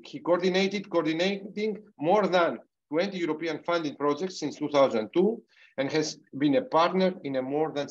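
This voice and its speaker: A middle-aged man with a non-native English accent hosts an online webinar, introducing a guest with many fillers and restarts over a video call. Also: on the high side. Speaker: host of an online university webinar. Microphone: computer mic on a video call. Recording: dull, muffled video call audio.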